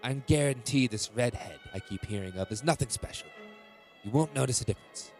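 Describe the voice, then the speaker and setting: A man's voice: mid-range voice, fairly quick, darkish tone, lightly acted. A man acting as a cold, domineering middle-aged king in a fantasy audio drama, commanding and contemptuous. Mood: cold, threatening. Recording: music behind the voice.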